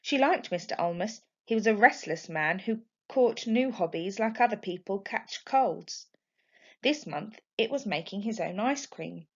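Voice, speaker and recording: A woman reading a children's story aloud expressively, like a teacher to a class. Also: medium pitch. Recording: dull lo-fi home recording.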